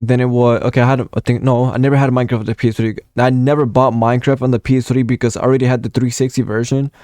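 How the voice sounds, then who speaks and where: A man's voice: mid-range voice, level tone, racing, a little mellow, no room sound, studio quality. A nineteen-year-old man from Puerto Rico, rambling through a casual gaming vlog story with lots of swearing and fillers. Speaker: gaming content creator.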